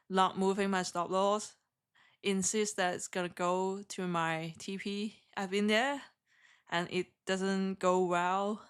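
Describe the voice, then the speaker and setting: A woman from Hong Kong with a heavy Hong Kong accent, chatting with viewers on a live trading stream in halting, self-correcting English. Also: medium pitch, a bit bright, clear, resonant tone. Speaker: day trader streaming live.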